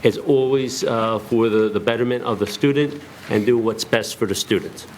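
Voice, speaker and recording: A hoarse man giving a halting, formal presentation to a school board, full of fillers. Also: medium pitch, darkish tone, slight background noise. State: hoarse, lost voice.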